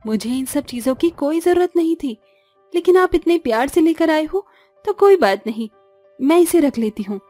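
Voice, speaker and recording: A woman's voice: high voice, expressive, normal speed, warm tone, dry recording, faint music behind. A woman voicing a caring, principled young village animal doctor in a cartoon, earnest and firm. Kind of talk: cartoon story voice acting.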